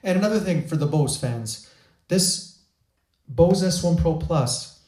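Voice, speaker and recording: A man's voice: fairly high pitch, lively intonation, measured pace, darkish tone, slightly rough. A man hosting a gear review, talking in an enthusiastic, chatty way with small restarts, recorded on a shotgun microphone. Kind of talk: product review talk.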